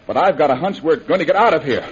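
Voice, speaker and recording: A brave American adventurer in an old radio serial. He is urgent and protective, speaking in the earnest manner of 1930s drama. The sound is dull and low-fidelity. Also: lively intonation, quick pace, husky. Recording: muffled vintage 1930s radio recording.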